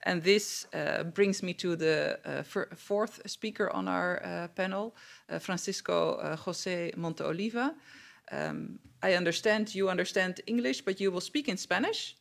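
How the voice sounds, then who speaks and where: Dutch woman moderating, gravelly creaky voice. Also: on the low side, animated. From Netherlands. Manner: halting, hesitant phrasing. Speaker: panel moderator. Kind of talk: moderating a public panel discussion.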